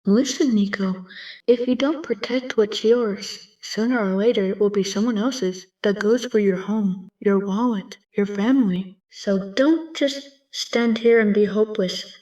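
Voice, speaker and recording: A woman's voice: medium pitch, measured pace, mellow, close to an everyday voice. A woman voicing a brash, domineering female cartoon character with a pointed, lecturing manner. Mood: stern, urging. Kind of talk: character voice lines for an amateur animatic. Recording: muffled, distant microphone.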